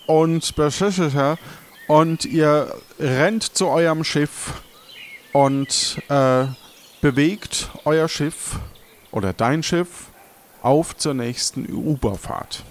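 A man narrating as game master of an improvised adventure audio play, with dramatic, drawn-out delivery. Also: a little high. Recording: noisy sound-effect ambience behind voice.

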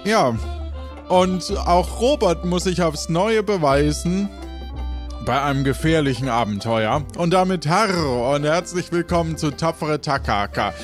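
A man narrates an adventure game as its host, playful and theatrical. His fairly high voice swoops around and takes its time, with soft music underneath. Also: expressive, a little slow. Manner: playful, dramatic delivery.

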